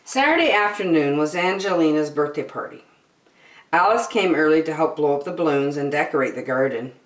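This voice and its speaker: A woman reading a children's story aloud with expressive narration and dramatic phrasing for young listeners, in a roomy-sounding recording. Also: low-pitched, brisk.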